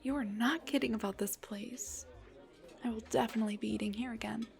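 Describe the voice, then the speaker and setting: A young woman voicing an embarrassed, self-deprecating headless dullahan on a date, in an intimate roleplay, breathy and hesitant, often slipping towards a whisper. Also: fairly high pitch, steady tone, a little fast, darkish tone. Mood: relaxed, chatty. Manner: hesitant, stammering, joking. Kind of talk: intimate audio roleplay acting.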